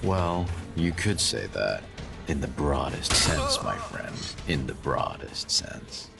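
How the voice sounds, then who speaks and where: An actor playing a sly, evasive outlaw camp boss in a video game, sardonic and drawling, with mocking menace. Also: male voice, rumbling low, sing-song, takes it slowly, vocal fry, over loud music, heavily acted. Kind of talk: video game character dialogue.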